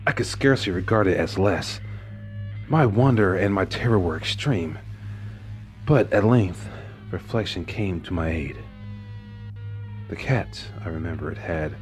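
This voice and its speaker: Deep-voiced man reading horror intensely. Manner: intense, swings from hushed whispers to rising anguished outbursts. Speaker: narrator. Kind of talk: dramatic horror story reading.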